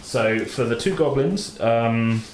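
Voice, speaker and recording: A man's voice: medium pitch, slow pace, slight background noise. Man running a tabletop game, echoey room. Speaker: game master, podcast host. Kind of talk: casual tabletop game narration. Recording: roomy echo, clear group chatter behind.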